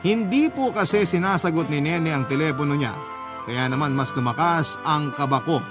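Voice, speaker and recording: A man's voice: fairly high pitch, normal speed, lightly acted. A man playing a worried, devoted storyteller, giving an earnest first-person radio drama narration. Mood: anxious, prayerful. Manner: earnest, emotional. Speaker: letter-sender narrator. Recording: music bed under narration.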